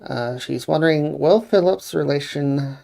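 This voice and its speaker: Very gravelly, mellow male co-host. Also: on the high side, unhurried. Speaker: co-host handling chat and callers. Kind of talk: casual live-stream hosting.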